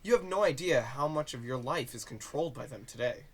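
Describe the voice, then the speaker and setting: A man's voice: mid-range voice, lively intonation, fairly quick, breathy edge. A man playing a guarded, secretive character in an audio drama, warning of a conspiracy in a hesitant, grave manner. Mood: resigned.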